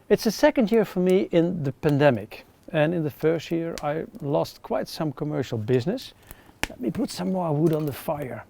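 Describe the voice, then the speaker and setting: A middle-aged man with a Dutch accent, chatting casually to camera outdoors by a campfire, relaxed with frequent ums. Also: on the high side, creaky, slight background noise. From Netherlands. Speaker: outdoor gear reviewer.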